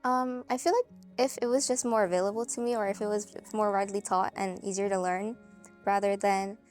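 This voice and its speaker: A woman's voice: medium pitch, fairly even intonation, a little fast, a little mellow, faint music behind. A young woman answering hesitantly in a documentary interview, with lots of "um" and "like".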